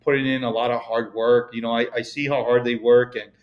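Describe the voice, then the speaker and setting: A middle-aged man with a Mexican accent speaks as a podcast guest at a mid pitch. He rambles in a loose, casual way, leaning on "you know" and "like" as he goes. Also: flat delivery, a little fast, highs cut off. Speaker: podcast guest, real estate broker. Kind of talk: casual interview conversation.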